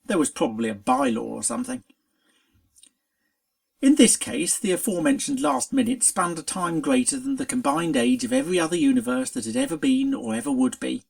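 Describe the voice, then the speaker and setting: A narrator reading a scripted story aloud in an expressive, dramatic storytelling manner. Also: mid-range voice, brisk, gravelly. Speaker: fan fiction reader.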